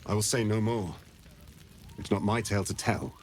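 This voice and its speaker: A man playing a grave, weary monster hunter in a video game, measured and guarded. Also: lowish voice, a little hiss or hum, natural voice. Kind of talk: video game character acting.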